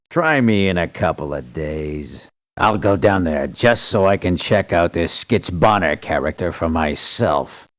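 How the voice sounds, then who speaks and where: An actor playing a tough, cynical, irritable mercenary in a video game, blunt and dismissive. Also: male voice, low-pitched, no room sound. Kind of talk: video game character lines.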